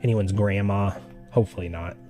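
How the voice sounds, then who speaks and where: Chatty man, low voice, going raspy. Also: steady tone, fairly quick, dark tone. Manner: loose and chatty, with many "like" fillers. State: voice getting raspy. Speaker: art streamer giving feedback. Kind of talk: casual live-stream critique. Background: faint background music.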